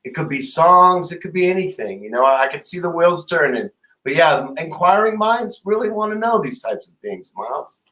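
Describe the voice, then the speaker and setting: A man's voice: a little high, sing-song, moderate pace, sharp tone, rough recording. A man in his fifties hosting a talk show, effusive and rambling as he chats with a guest. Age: 50s. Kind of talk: casual interview chat.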